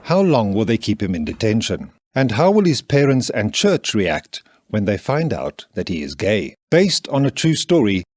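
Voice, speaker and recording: A man's voice: fairly low pitch, sing-song, moderate pace, few pauses, darkish tone, dry recording. A man reading a dramatic scripted promo narration. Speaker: trailer narrator. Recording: dry studio voice over music. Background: music.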